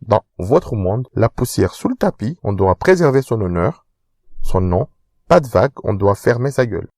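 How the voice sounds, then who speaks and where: A man's voice: lowish voice, expressive, normal speed, a little mellow, dry recording, studio quality. A man voicing a rebellious, outspoken adult daughter in a fiction podcast, sardonic and argumentative. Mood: bitter, defiant.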